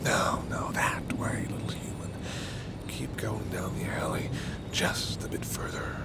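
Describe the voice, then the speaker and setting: A man playing a confident, condescending male vampire in an intimate audio roleplay, coolly menacing and commanding, with breathy asides. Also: fairly low pitch, a little slow, whispered. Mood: musing, predatory. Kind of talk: intimate audio roleplay, acted monologue to the listener.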